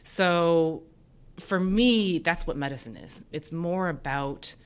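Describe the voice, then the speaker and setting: Woman doctor from the us, mandarin native, pretty deep voice, talking things through in an interview. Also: slow, clean tone. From United States. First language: Mandarin Chinese. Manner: thoughtful, explanatory, hedging with "kind of" and "you know". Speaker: emergency physician being interviewed. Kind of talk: reflective interview conversation.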